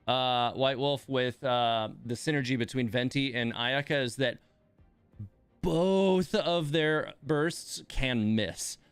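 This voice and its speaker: A man's voice: medium pitch, smooth, no room sound. A man giving casual live game commentary as a streamer, thinking aloud in a laid-back way.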